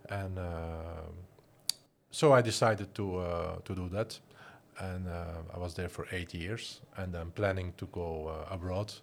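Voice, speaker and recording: Flemish man, 40s, low voice, halting non-native English, faint background noise. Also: fairly even intonation, creaky. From Flanders, Belgium. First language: Flemish Dutch. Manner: hesitant, many restarts and fillers. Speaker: guest, design school director and musician. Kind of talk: casual interview talk.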